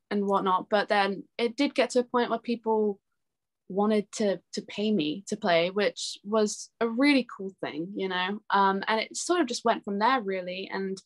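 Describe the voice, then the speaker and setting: A young woman talking casually as an interview guest, telling anecdotes in a chatty, giggly way. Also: mid-range voice. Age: young adult. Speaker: interview guest, singer-songwriter.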